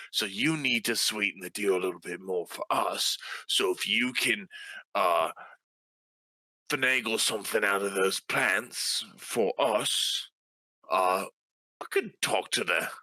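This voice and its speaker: Bright-voiced man improvising at the game table. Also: lowish voice, no echo, low-quality recording. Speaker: player in a tabletop role-playing game. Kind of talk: improvised in-character dialogue, conversational.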